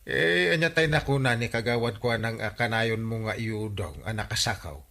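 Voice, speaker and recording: An actor playing a puzzled, blustery village captain with an Ilocano accent in a radio comedy drama. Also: male voice, medium pitch, moderate pace, gravelly, sharp tone. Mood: bemused, blustery. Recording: noisy track with clicks.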